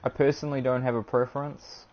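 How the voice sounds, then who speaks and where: A man's voice: medium pitch, level tone, quick pace, a little mellow. A young man with a New Zealand accent chatting casually and self-deprecatingly to viewers while he streams a game. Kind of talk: casual live-stream commentary. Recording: dull lo-fi stream audio with background noise.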